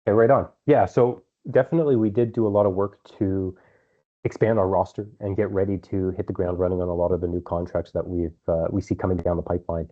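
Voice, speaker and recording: A man speaking as a company executive, answering investor questions on an earnings call in measured phrases with some repeated words. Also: sits low, fairly even intonation. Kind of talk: earnings call answers, semi-prepared business talk. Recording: dull, band-limited conference call audio.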